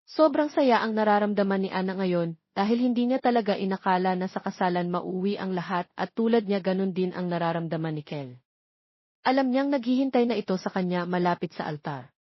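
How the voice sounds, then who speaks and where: A woman's voice: medium pitch, a little fast, no echo, dull audio. A woman with a Filipino accent reading a scripted romance story as an audiobook narrator.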